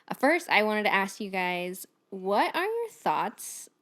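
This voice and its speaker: A woman's voice: mid-range voice, sing-song, takes it slowly, fairly bright tone. A woman in her late twenties hosting a casual podcast chat in an informal, giggly way. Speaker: podcast host.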